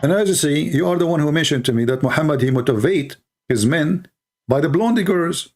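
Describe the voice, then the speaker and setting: A man's voice: on the high side, animated, brisk, a little mellow. A man whose first language is Arabic, debating in English with insistent, repeated phrases. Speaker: debate host. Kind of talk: heated debate.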